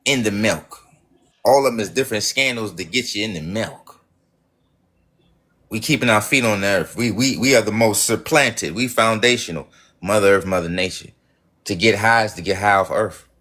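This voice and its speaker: A man's voice: lowish voice, normal speed, fairly bright tone. Guy running a live stream, rambles and preaches, cusses a lot, trips over his words. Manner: profane, preachy and rambling, with many restarts. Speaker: livestream host. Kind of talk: rambling livestream monologue.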